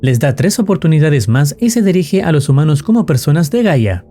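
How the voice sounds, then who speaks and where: A man's voice: on the high side, sing-song, fairly quick, dark tone, soft background music. A man reading scripted narration in the role of a storyteller explaining a tale.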